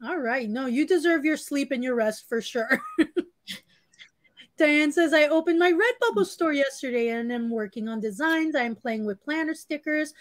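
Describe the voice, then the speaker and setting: Upbeat high-voiced woman hosting a live stream. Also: lively intonation, moderate pace, dry recording. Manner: laughs often, upbeat and chatty. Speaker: host of a live writing stream. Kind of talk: casual live-stream chat, reading out comments. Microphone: webcam on a video call.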